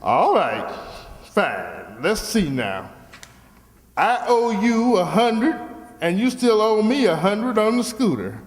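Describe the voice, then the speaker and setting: Glib, jovial small-town swindler, male actor, very drawn-out folksy delivery, old-time radio comedy, echoey hall recording. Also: high-pitched, very animated, full character voice. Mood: smug, cheerful. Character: Mister Calhoun, jovial, slick small-town scooter salesman.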